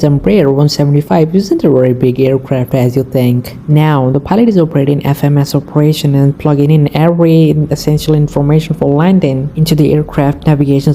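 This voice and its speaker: A woman's voice: low voice, mellow. A woman narrating and explaining air traffic radio recordings in plain, informal English with non-native phrasing. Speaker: aviation video narrator.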